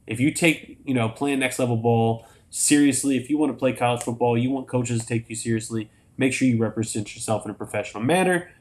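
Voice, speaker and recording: A man's voice: medium pitch, brisk, hardly any pauses, darkish tone. An American man with a crackly voice, hosting a casual live sports show and talking in slangy, conversational phrases. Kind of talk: casual sports talk on a livestream.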